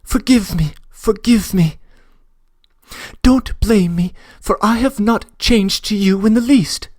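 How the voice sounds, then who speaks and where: A man putting on a pleading young woman, slow and dry. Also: sits high, a little mellow, no room sound, pitched above the performer's own voice. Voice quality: emotional, imploring. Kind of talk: audiobook reading.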